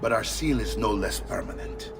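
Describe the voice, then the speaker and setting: An actor playing a fatherly older assassin mentor in a video game, solemn and measured, with an Italian accent. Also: lowish voice, creaky, recorded from a distance, background noise. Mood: ceremonial, grave. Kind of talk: video game cutscene acting.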